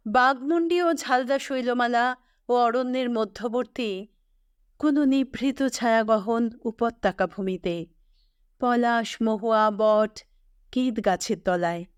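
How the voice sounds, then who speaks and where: A woman, reading a scripted literary story as host in a measured, expressive way. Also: a little high, clean tone, dry recording, studio quality.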